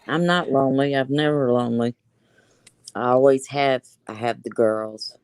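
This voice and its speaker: A woman in a casual faith chat, giving affirming replies and quick interjections. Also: extremely low pitch, steady tone, darkish tone, clear, resonant tone, no echo.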